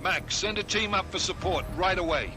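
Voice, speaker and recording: A man playing an urgent, brisk and commanding field team leader in a video game. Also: high voice, lightly acted. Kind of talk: video game cutscene acting. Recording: clear vehicle-like noise behind.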